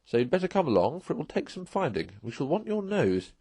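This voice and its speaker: A man's voice: fairly high pitch, very animated, fast talker, mellow, breathy edge, no echo, voice pushed up. A man voicing a kindly, decisive rat from a storybook, speaking in an old-fashioned, chummy and reassuring way. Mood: hearty, decisive. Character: kindly, hearty, decisive male rat, loyal companion.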